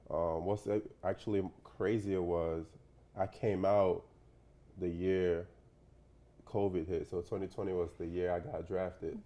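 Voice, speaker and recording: A young man from Nigeria, a guest in a casual interview, talking in a relaxed, rambling way with lots of fillers and "you know". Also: deep voice, unhurried. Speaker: professional basketball player, guest.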